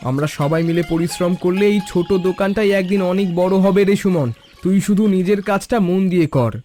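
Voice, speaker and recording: Man as a high-pitched, supportive village helper. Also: brisk, mellow, faint music behind, natural voice. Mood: reassuring. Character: supportive, hardworking village helper, brotherly to a youth. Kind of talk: cartoon story dialogue.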